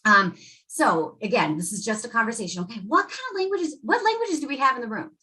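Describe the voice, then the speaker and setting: A woman educator leading a workshop for teachers, talking with lively energy and acting out quotes from students and parents. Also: fairly high pitch, quick pace. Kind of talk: presenting to educators.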